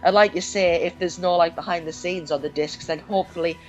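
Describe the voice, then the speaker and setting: A man's voice: high-pitched, steady tone, brisk, highs cut off, faint music behind. Young male fan, casual chat. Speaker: podcast co-host or guest.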